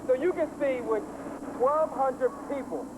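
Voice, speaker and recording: A woman's voice: high-pitched, measured pace. A woman delivering lines in the style of an ad spot. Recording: very noisy campaign ad footage.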